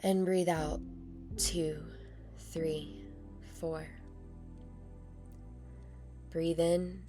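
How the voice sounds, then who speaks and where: Low-voiced, slow-paced woman guiding meditation. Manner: calm, soothing, measured with long pauses. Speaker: meditation guide. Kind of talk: guided meditation narration. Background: meditation music.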